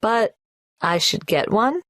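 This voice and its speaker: A polite young applicant who answers a clerk's questions and carefully spells out names and numbers. She talks slowly, and the recording is dry and close. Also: medium pitch, lively intonation, sharp tone, no echo. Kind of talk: scripted exam listening dialogue.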